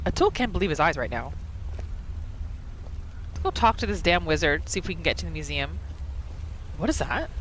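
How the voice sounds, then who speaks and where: A woman hosting a gaming video, giving expressive roleplay commentary as she plays. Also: lowish voice. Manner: animated, expressive reactions. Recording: voice over noisy game ambience. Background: video game ambience.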